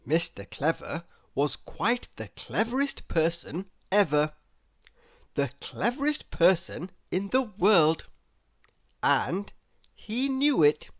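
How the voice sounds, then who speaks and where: A woman reading a children's story aloud as an expressive narrator who speaks directly to young listeners. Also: fairly low pitch, slow pace. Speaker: storybook reader.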